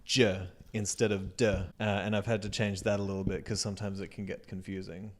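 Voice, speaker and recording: A man with an Australian accent, a music educator speaking as an interview guest in a casual, reflective way that trails off softly at the ends of sentences. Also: lowish voice, flat delivery, brisk.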